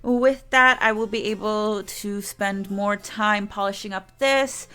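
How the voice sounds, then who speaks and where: A woman's voice: medium pitch, fairly even intonation, takes it slowly, bright, forceful tone, clear, resonant tone. A woman streamer chatting casually to her viewers, rambling and often restarting her sentences. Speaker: game streamer.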